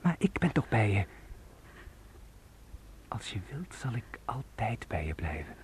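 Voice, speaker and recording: Coaxing lover, hushed, slow, warm dark voice, faintly noisy recording, radio play. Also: male voice, lowish voice, big pitch swings. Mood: tender, coaxing. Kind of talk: Dutch radio play acting.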